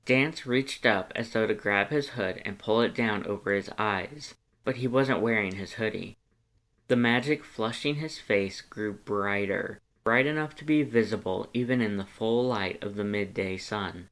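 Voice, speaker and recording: A man narrating fan fiction in an expressive audiobook style. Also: lowish voice, a little slow.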